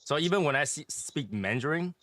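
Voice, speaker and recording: Male online community host, Taiwanese accent, bright forward tone, close dry sound, casual and repetitive. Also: on the high side, expressive, fairly quick, no echo. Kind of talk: casual group chat.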